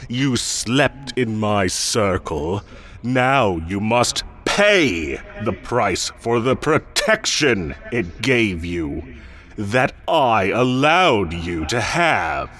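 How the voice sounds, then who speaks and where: A man acts out a possessive fae king in an audio roleplay, speaking extremely slowly in a lowish voice. He is smug and condescending, coaxing with quiet menace and theatrical relish, over faint background noise. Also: big pitch swings, heavily acted. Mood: menacing, condescending. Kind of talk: roleplay audio addressed to the listener.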